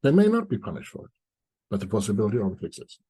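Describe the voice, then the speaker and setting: A middle-aged man from East Germany, giving reflective spoken commentary to viewers in deliberate short phrases with pauses. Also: on the low side, big pitch swings, warm tone, breathy edge. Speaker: commentator presenting a video essay.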